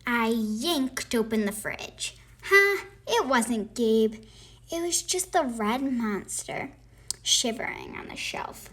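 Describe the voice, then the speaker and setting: Very slow, animated woman reading a storybook. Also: on the high side. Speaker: storybook reader. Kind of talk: expressive children's read-aloud narration.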